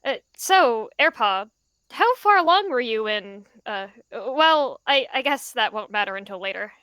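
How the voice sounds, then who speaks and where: A woman plays a skittish warrior cat mentor in an animal roleplay game. The voice is bright and fairly high, full of hesitant stammers and gentle apology, recorded very dry. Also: animated, unhurried, clean tone, no echo. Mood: nervous, apologetic.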